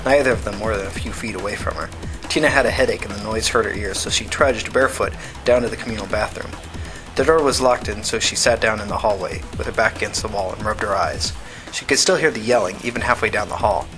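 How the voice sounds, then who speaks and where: A man reading fiction as a podcast narrator, in a measured, matter-of-fact scripted audiobook style. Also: fairly low pitch, music in the background.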